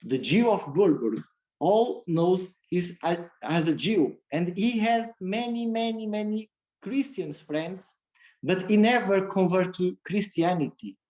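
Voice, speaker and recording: A man giving a lecture as a guest speaker, in halting with repeated phrases. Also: high voice, darkish tone, dull audio. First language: Portuguese. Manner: halting, non-native English with repeated phrases. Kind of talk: religious community lecture.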